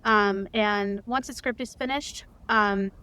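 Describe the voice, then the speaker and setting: A woman's voice: mid-range voice, steady tone, takes it slowly, bright, forceful tone. A woman answering as an interview guest in a casual, explanatory way, with ambient noise behind her. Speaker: interview guest, audio drama creator. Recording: clear background ambient noise.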